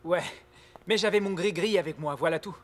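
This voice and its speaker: A man playing an earnest, excitable young star footballer in a children's cartoon. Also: high-pitched, a little fast, close to an everyday voice. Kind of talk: dubbed children's cartoon acting. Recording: music behind the voice.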